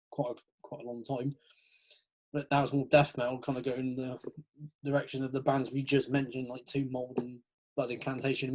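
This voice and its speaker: Host: young man, mid-pitched, loose and rambling, laughs a lot. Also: a little mellow, rough recording. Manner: rambling, lots of fillers, laughs. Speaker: podcast host. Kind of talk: casual chat.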